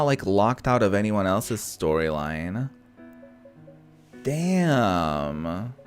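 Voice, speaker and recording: A man doing casual let's-play commentary, thinking out loud with some mild swearing over background music. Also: mid-range voice. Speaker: gaming host. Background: background game music.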